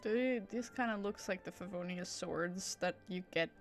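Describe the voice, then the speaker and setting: A woman's voice: fairly low pitch, moderate pace. A woman streaming a video game with casual, playful, rambling commentary and muttered asides. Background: game music.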